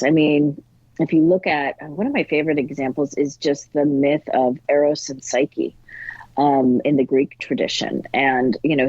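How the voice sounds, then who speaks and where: A woman's voice: low voice, a little mellow, no room sound, dull audio. A woman author speaking as an interview guest, explaining things in a scholarly but colloquial way. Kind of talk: interview conversation on spiritual folklore.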